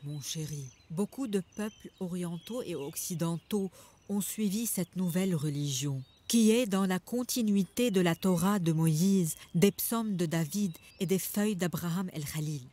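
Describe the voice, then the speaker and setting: A woman's voice: fairly low pitch, measured pace, dark tone. An actress voicing a devout, tender woman believer in a cartoon. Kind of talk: animated cartoon acting.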